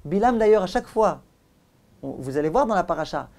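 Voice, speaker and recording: A man's voice: sits high, expressive, brisk, gravelly, a little mellow. A man giving a religious lecture as a rabbi, speaking in an emphatic, didactic way with repeated phrases and direct questions to his listeners. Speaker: rabbi giving a Torah lesson.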